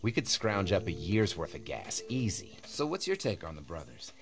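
Casting: talkative, edgy survivor in game drama, lowish voice, highly animated inflection, candid. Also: male voice, normal speed, full character voice. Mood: casual, probing. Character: edgy, loyal, talkative male apocalypse survivor. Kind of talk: video game voice acting, dramatic dialogue. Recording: game music bed behind voice. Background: music.

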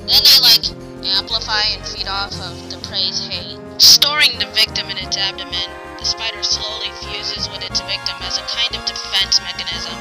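A woman plays an older demon sister in a comic dub. She explains things casually and knowingly at a medium pitch. Loud music sits underneath. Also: moderate pace, lightly acted, poor audio. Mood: offhand, explaining. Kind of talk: comic dub character acting, conversational.

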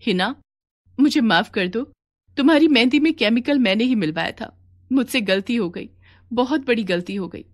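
A woman's voice: on the high side, lively intonation, moderate pace, pitched above the performer's own voice. A woman voicing a remorseful, generous parlour owner in a cartoon story. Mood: earnest. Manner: pleading then kind.

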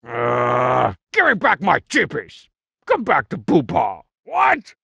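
Short-tempered masked male cartoon villain for a game: very gravelly, bright and forceful, juvenile, boastful and slangy. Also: on the high side, huge pitch swings, slow pace, no echo, dull audio, full character voice. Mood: outraged. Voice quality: gravelly, raspy. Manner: boastful, mocking, slangy, exaggerated delivery. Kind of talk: video game character barks.

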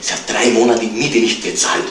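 A man's voice: lowish voice, level tone, normal speed, natural voice. A man playing a brusque, indignant landlord in a 1970s radio crime drama, speaking in a curt, stiffly formal, complaining way. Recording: distant, echoey, noisy old radio play.

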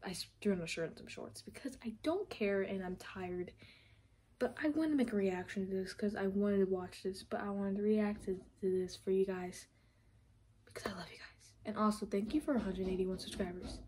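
A woman's voice: medium pitch, fairly even intonation, moderate pace, a little mellow, slight background noise. A young woman chatting casually as the host of a reaction video, recorded in a room at home.

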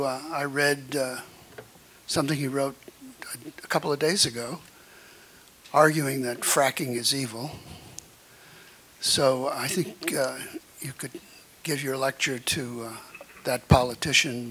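An older man in the audience asking a question at a lecture, speaking haltingly with many pauses and fillers, at a stand mic in a conference room. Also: medium pitch, sharp tone, slight background noise, rough recording. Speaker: audience member. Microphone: floor-standing mic.

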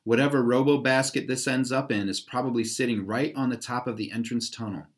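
A man acts out an eager boy from a children's storybook, leading his team. He is quick and excitable, pitched a bit above an ordinary adult male voice. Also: close to an everyday voice. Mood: urgent, eager.

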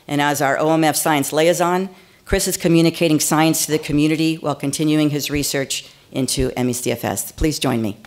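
A woman at a podium giving a measured, formal introduction of the next speaker. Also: sits low, steady tone. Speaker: foundation leader introducing a speaker. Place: conference hall podium. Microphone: podium microphone.

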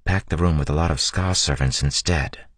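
Man doing a guarded teen girl, soft and quiet, husky, very deep, dry sound. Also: moderate pace, no room sound. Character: scrawny, guarded sixteen-year-old girl thief.

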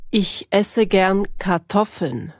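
A woman speaks slowly and carefully, leaving clear space around each word. Her voice sits in a middle range and sounds dry and close, with no room around it. She reads plain sentences in the measured way of a language lesson. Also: medium pitch, expressive, no echo. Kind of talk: slow, clearly articulated example sentences, each said twice.

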